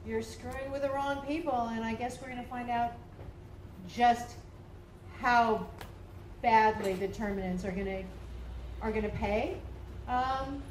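A woman answering on a convention panel, loud and chatty with a handheld mic. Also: a little high, fairly even intonation, measured pace, long pauses. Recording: echoey tent with faint noise.

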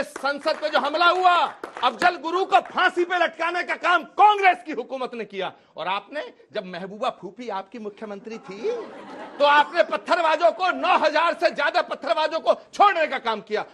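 Opposition spokesman: man, piercingly bright and very high voice, combative, declamatory delivery. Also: animated, moderate pace, a faint crowd, slight background noise. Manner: forceful, declamatory. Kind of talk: combative political debate speech.

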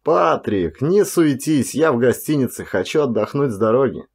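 A male narrator plays a carefree, cocky ladies' man in an audiobook. His talk is playful, casual banter, with animated intonation that swings around a mid-range male pitch. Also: moderate pace, husky. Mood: casual, teasing. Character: carefree, cocky young womanizing man, teasing his friend. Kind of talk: audiobook character dialogue.

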